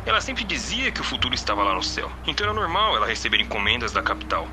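A man's voice: medium pitch, very animated, rapid-fire, background noise. A man playing a bitter, grieving middle-aged Brazilian father in an audio drama. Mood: subdued, recalling.

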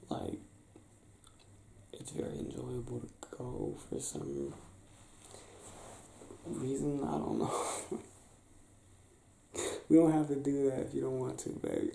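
A young man doing an affectionate ASMR boyfriend roleplay, soft-spoken, halting and playful, as if chatting in bed. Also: mid-range voice, gravelly. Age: young adult. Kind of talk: ASMR boyfriend roleplay, improvised intimate chat. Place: small indoor bedroom, lying on a bed. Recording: roomy bedroom sound with faint hiss.

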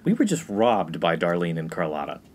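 A man's voice: deep voice, wildly swooping pitch, fairly quick, a little mellow. A young man playing an exasperated, put-upon college freshman with a dry manner in a comedy radio play. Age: young adult. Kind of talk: 1950s-set comedy radio play acting.

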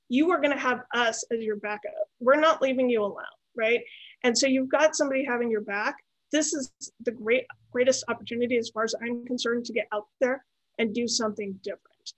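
A woman's voice: on the high side, no room sound, rough recording. A woman, a native Spanish speaker, answering student questions on a video call in an encouraging way, often adding "right?". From United States. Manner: encouraging, frequent "right?" tags. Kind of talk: webinar Q&A talk.

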